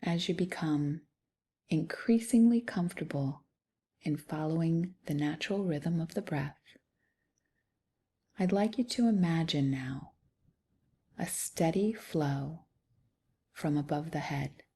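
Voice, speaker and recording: A woman leading a guided meditation in a soft, soothing, unhurried way with long pauses. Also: low voice, breathy edge. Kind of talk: guided body scan meditation.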